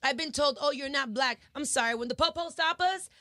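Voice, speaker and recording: A young woman of Dominican family talks in a casual interview, emphatic and heartfelt, slipping in Spanish phrases. Her voice sits fairly high and rings bright and forceful. The sound is close and dry. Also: brisk, dry recording. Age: young adult. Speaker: guest, singer and entertainer.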